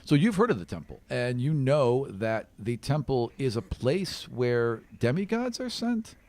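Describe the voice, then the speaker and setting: A man's voice: medium pitch, very animated, unhurried, darkish tone. A man working as game master, narrating an improvised tabletop game in a casual, conversational way.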